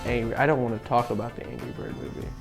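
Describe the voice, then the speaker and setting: A man's voice: medium pitch, steady tone, fast, loud music behind the voice. A man co-hosting a show about video games, talking through his commentary. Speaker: show co-host. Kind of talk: scripted narration and casual opinionated talk about video game films.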